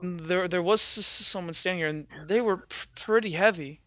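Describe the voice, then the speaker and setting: A man playing a halting, stammering tracker in a tabletop game, terse and practical. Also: sits high, pushed and bright, dry recording, dull audio. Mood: hesitant, observant.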